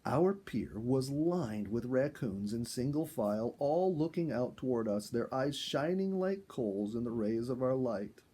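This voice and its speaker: Husky, mellow man telling a story. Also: mid-range voice, unhurried, few pauses. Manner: expressive, acts out dialogue. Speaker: storyteller, reader. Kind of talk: animated audiobook-style narration of a first-person animal story.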